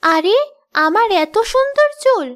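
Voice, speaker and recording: A woman voicing a gentle, trusting young wife in exaggerated cartoon dialogue. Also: extremely high pitch, expressive, a little slow. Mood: amazed, happy.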